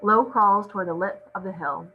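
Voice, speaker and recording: Casting: female script narrator, mid-pitched, reading stage directions, dull recording with background hum. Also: moderate pace. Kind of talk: scripted narration at a table read. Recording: muffled audio with clear hum.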